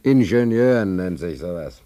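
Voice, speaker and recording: An actor playing an aggrieved sailor in a German radio play, colloquial and quick to protest. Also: male voice, deep voice, expressive, mellow. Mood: bitter, recounting. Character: aggrieved sailor tricked into shipping as stoker's trimmer.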